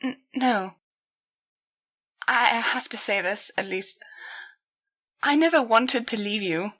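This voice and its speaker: A woman's voice: a little high, slow, bright, forceful tone, exaggerated voice. Woman voicing a distraught stammering young female pony. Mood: hesitant, pained. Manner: stammering, hesitant, forced out. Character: distraught, guilt-ridden young female pony, pleading apologetically.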